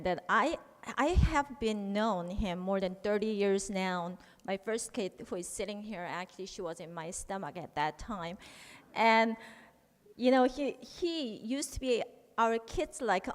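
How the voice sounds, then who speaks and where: Korean-accented woman speaking in a hall. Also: medium pitch. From South Korea. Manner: personal, with restarts and broken grammar. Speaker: guest speaker. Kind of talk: speech at an event.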